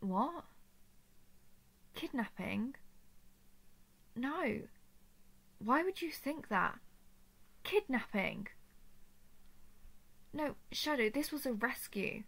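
A woman performs an obsessive female dragon who has taken her love captive. Her high voice is breathy and creaky, and she speaks slowly. She repeats herself pleadingly, unstable between tenderness and desperation, as in an intimate roleplay audio drama. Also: lively intonation, a bit bright, heavily acted. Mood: hurt, insistent. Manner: pleading, repetitive, swinging between tender and frantic.

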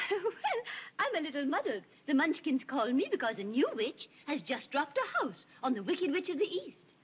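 A woman playing a gentle, regal good witch in theatrical 1930s film style, genteel and lilting. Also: high-pitched, very animated, moderate pace, dull audio, a little hiss or hum. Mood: puzzled, sweet. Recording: old film soundtrack under loud music.

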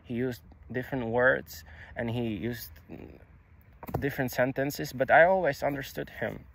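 A young man whose first language is Czech, speaking outdoors into a phone in a halting, confessional way, restarting words and swearing casually. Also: mid-range voice, steady tone, gravelly, fairly bright tone, a little hiss or hum. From Czech Republic. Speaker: vlogger. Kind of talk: personal confessional monologue. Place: outdoors on a grassy hilltop. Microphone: phone camera held low.

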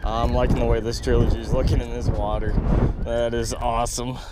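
A man in his thirties hosting a fishing vlog from a small boat on a windy lake, chatty and enthusiastic, recorded on an action camera. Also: mid-range voice. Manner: enthusiastic, chatty, quick bursts of excitement. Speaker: fishing channel host. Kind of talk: excited outdoor fishing vlog.

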